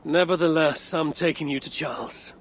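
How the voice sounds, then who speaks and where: A man's voice: fairly high pitch, fairly even intonation, normal speed, a little hiss or hum, natural voice. A man playing a stoic, skeptical Viking warrior in a video game cutscene, speaking tersely and matter-of-factly. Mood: firm, skeptical. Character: blunt, skeptical Viking warrior of a raven clan.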